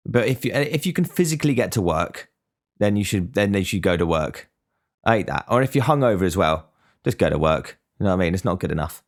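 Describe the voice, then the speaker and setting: A young man giving a blunt, sweary casual monologue as a podcast host. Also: low-pitched. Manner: blunt, swears freely, ranting.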